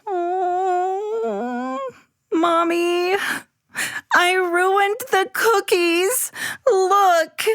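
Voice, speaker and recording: A woman voicing an earnest, high-pitched little girl. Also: extremely slow, long pauses, no room sound, studio quality, exaggerated voice. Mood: upset, tearful. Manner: excited, sing-song, wide-eyed. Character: eager little girl, earnest and easily crushed. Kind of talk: children's storybook character voice.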